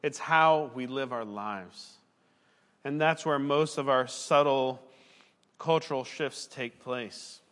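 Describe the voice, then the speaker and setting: A man's voice: mid-range voice, slow pace, a bit bright. Guy preaching a sermon, earnest and chatty, fixes his words as he goes, big echoey church sound. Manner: earnest, conversational preaching with occasional self-corrections. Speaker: pastor, former missionary.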